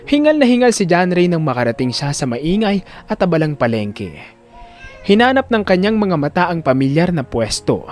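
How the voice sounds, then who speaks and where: A man narrating a scripted drama story in an expressive, emphatic storyteller's delivery. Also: sits high, moderate pace, soft background music.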